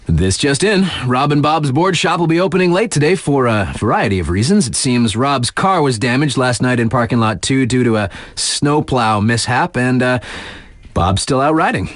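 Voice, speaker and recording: Man playing a lively, laid-back wry radio DJ. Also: medium pitch, normal speed, no echo, natural voice. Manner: casual, wry, deadpan asides, slangy. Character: laid-back wry young male mountain-town radio DJ. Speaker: radio DJ reading local news. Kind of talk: mock local radio news broadcast.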